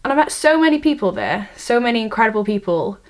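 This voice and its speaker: A woman's voice: fairly high pitch, sing-song, fast. An eighteen-year-old woman with a Welsh accent, talking to a camera on a tripod in her bedroom, nervous and self-deprecating, often stopping and restarting. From Wales. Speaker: student vlogger. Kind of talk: casual vlog to camera.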